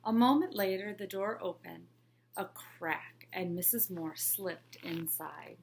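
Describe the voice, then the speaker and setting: A woman teacher reading a children's picture book aloud with a clear, expressive storybook delivery. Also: mid-range voice, slow, a little hiss or hum.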